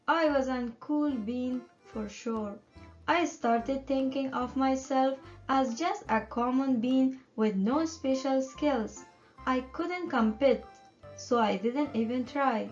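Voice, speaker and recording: A woman with a high voice reads a children's picture book aloud in an expressive story-time style. The recording is slightly muffled, and music plays behind her narration. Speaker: children's story time reader and channel host. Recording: muffled audio under music.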